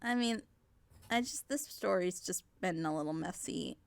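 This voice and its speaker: A woman's voice: fairly low pitch, animated, moderate pace, gravelly, no room sound. A talkative woman giving frank, opinionated critique in a casual group chat. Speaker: reactor on a group reaction show.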